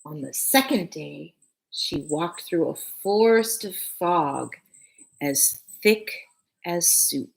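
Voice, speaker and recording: A woman's voice: fairly low pitch, animated, gravelly, low-quality recording. A woman teacher reading a picture book aloud to children expressively, with short phrases and casual asides. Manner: addresses young listeners, pausing between short phrases.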